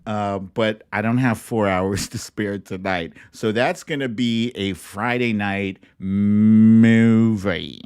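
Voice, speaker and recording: A man's voice: on the low side, steady tone, takes it slowly, few pauses, a little mellow, clean tone, no echo, studio quality. A middle-aged man hosting a livestream, chatting casually and directly to his viewers in a rambling, conversational way. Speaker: host of a livestream show. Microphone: streaming microphone at a laptop setup.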